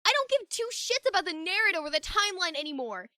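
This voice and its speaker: A woman's voice: very high voice, sing-song, quick pace, pushed and bright, no echo, clean studio sound, heavily acted. A woman playing an anguished, fed-up young woman in an emphatic, foul-mouthed fan fiction audio drama. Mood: furious, anguished.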